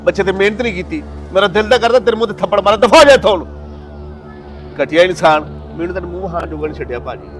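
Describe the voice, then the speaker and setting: A man playing an emphatic, excitable middle-aged father with a Pakistani Punjabi accent in a moral drama. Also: high-pitched, very fast. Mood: furious. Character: proud, hopeful father who turns furious. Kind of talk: acted moral-story drama.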